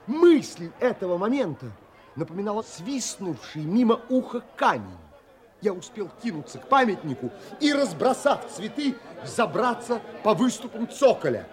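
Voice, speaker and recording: A man's voice: high voice, big pitch swings, normal speed, a faint crowd. An actor playing an earnest, troubled adventurer who tells his own story in theatrical, emotionally involved audio drama narration. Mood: tense, urgent. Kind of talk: dramatic first-person literary narration.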